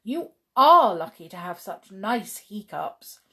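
A woman's voice: mid-range voice, very animated, slow, pushed and bright, breathy edge, exaggerated voice. A woman voicing a group of cheerful little brownies, chirpy and polite. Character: six cheerful little brownies, fairy folk.

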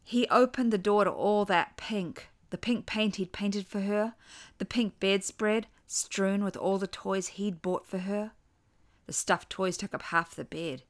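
A woman's voice: mid-range voice, unhurried. A woman reading a scripted dramatic story as its narrator. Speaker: author and narrator.

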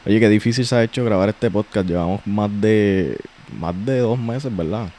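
A man with a Puerto Rican accent hosting a podcast, chatting casually in a slangy, relaxed way with frequent restarts. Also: lowish voice, normal speed. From Puerto Rico. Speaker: podcast host.

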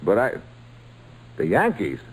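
An actor playing an earnest, humble young ballplayer in an old-time radio drama, plain-spoken and a little hesitant. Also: male voice, fairly low pitch, huge pitch swings, slow pace, slightly rough, heavily acted, rough recording. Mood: reluctant, earnest.

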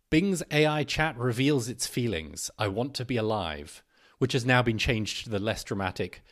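A man hosting a podcast, reading scripted narration and an article aloud. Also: medium pitch.

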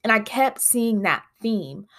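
A woman's voice: fairly high pitch, expressive, takes it slowly, fairly bright tone. A woman hosting her own podcast in casual, rambling chat, her voice nasal from congested sinuses. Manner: chatty, rambling with frequent fillers. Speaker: podcast host.

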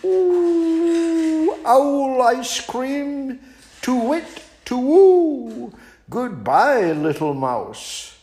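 Storybook owl, male, silly and greedy, hooting "ooh"s, very high and swooping. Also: very animated, very slow, warm tone, heavily acted. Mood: eager hooting. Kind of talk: children's story reading.

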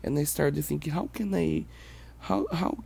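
Slow, reflective Brazilian-accented man. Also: fairly high pitch, gravelly, mellow, husky. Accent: Brazilian Portuguese. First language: Portuguese. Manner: reflective, hesitant, halting. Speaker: podcast host. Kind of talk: personal monologue podcast.

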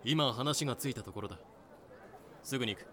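A man's voice: mid-range voice, brisk, fairly bright tone, a faint crowd, a little hiss or hum, lightly acted. A man acting a businesslike slave trader in a fan-made audio drama, with a polite showman's sales patter. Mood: matter-of-fact.

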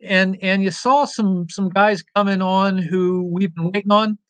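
A man joins a sports talk show as guest host over a remote call. He sounds relaxed and rambles through his points with plenty of fillers. His voice is a touch high for a man, and the sound is close and dry. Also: unhurried, clear, resonant tone, no room sound.

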